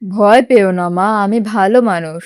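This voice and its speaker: A woman's voice: mid-range voice, moderate pace, a little mellow, clean tone, no echo, studio quality, pitched below the performer's own voice, close to an everyday voice. A woman voicing a sly strange man coaxing children in a cartoon. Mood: falsely soothing.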